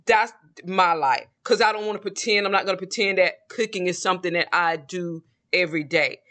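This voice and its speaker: A man's voice: high voice, fairly quick, sharp tone. A man in his forties with a Southern American accent, talking to his viewers in a rambling, reflective monologue. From Southern United States. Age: 40s. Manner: rambling and reflective, with frequent restarts. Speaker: vlogger. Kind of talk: casual monologue to camera.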